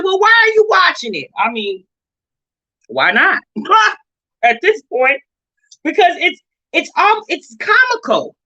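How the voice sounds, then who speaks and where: A woman's voice: high-pitched, sharp tone, low-quality recording. An American woman giving casual, opinionated commentary as a reaction video host, blunt and sassy, often exasperated and firing off rhetorical questions.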